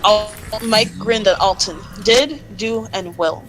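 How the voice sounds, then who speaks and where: Girl reading a story out loud on a call, kinda giggly, trips over lines, music playing behind her. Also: medium pitch, slow, poor audio. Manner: giggly, stumbles and repeats phrases as she reads. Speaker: friend reading fan fiction aloud in a group gaming call. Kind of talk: amateur read-aloud of fan fiction during casual chat.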